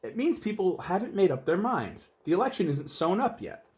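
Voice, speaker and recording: A man's voice: high-pitched, very animated, brisk, darkish tone, voice pushed up. A man voicing a helpful, patient male adviser in a children's storybook, explaining things emphatically. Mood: advising. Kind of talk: children's storybook read-aloud.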